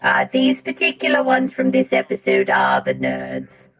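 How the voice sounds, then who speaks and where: A prim robot nanny with a very deep voice. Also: huge pitch swings, heavily acted. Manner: halting, proper, faux-British. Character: prim female robot nanny from a cyborg planet. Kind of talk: comic bit on a podcast.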